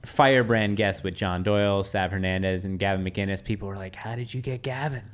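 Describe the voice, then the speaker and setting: A man hosting a casual podcast chat, talking easygoing and drawing out some phrases. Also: lowish voice. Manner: easygoing, drawn-out phrasing, chuckles. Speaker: podcast host.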